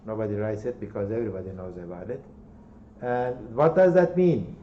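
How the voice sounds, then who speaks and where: A man with a Turkish accent lectures a university class in a mellow, relaxed voice, often repeating words and checking in with "okay?". The recording is roomy and slightly dull, with a faint hum of room ambience behind him. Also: fairly low pitch, a little fast. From Turkey.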